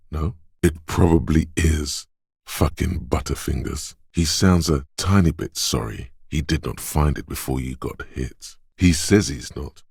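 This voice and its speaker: A man playing a brooding, trigger-happy inner voice that narrates a video game in a measured, deliberate way. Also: no room sound, studio quality, exaggerated voice. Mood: approving, then measured, observant. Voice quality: very deep, smooth, resonant. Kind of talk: scripted second-person game narration.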